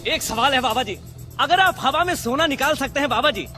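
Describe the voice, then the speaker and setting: A man's voice: very high voice, animated, brisk, sharp tone, exaggerated voice. An actor playing an insistent man who challenges a holy guru in a film comedy, repeating himself as he pleads. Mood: insistent, challenging. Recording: film clip with music behind.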